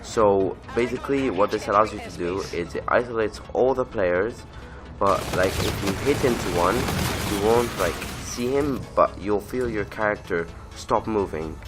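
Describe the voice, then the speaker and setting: A man with a cold, giving casual, rambling gameplay commentary from his bedroom and often correcting himself. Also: fairly low pitch. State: voice rough from a lasting cold. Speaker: gaming channel host. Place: bedroom at home.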